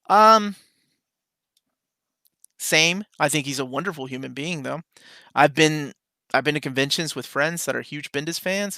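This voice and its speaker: A man from Peru hosts a casual live chat from home, reading out questions and answering with easy enthusiasm. His voice is bright and forward, pitched a little high for a man. The sound is dry and close, with almost no room behind it. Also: no room sound. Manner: relaxed and chatty, reads viewer questions aloud and answers with enthusiasm. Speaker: comics channel host.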